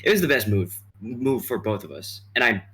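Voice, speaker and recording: A man's voice: medium pitch, big pitch swings, normal speed, bright, forceful tone. A man playing a nervous, tipsy, earnest young guy on a date in a comedy sketch reading, rambling and hesitant. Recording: faint noise, amateur livestream audio.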